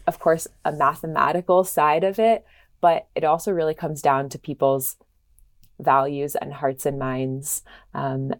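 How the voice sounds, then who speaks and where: A woman, a sustainability consultant, explaining her career thoughtfully with frequent pauses. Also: extremely low pitch, darkish tone. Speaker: sustainability consultant, studio co-lead. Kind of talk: casual interview answers.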